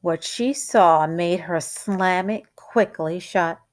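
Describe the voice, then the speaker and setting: A woman reading a children's picture book aloud like a teacher, with expressive, deliberate and dramatic storytelling. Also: lowish voice.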